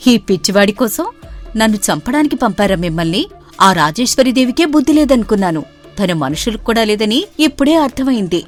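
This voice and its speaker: A woman voicing a clever, brave young bride in an animated story, bold and defiant. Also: a little high, fairly quick, soft background music. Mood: determined.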